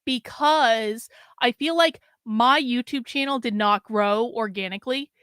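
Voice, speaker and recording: Brief: chatty middle-aged woman streamer, a bit hoarse, smooth higher voice, dry close sound. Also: measured pace, a bit bright, no echo. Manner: chatty, rambling, wry asides to the audience. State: losing her voice. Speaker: livestream host. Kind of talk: casual livestream chat.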